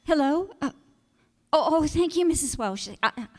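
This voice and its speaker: A woman's voice: sits high, lively intonation, normal speed. An actress playing an anxious, pleading wife and mother in a radio drama. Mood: concerned. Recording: echoey hall, stage reverb.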